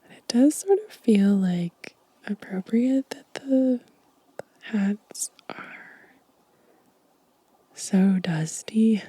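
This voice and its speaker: A woman whispering gently and softly in an ASMR show-and-tell style, breathy and unhurried. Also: mid-range voice, expressive, dry recording. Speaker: ASMR video creator.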